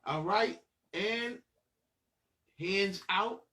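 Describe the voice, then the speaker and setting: High-pitched, slow-talking man hosting kids' storytime. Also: bright, forceful tone. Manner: playful, encouraging, repetitive sing-song phrasing. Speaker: children's storytime host. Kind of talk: children's show presenting, leading exercises.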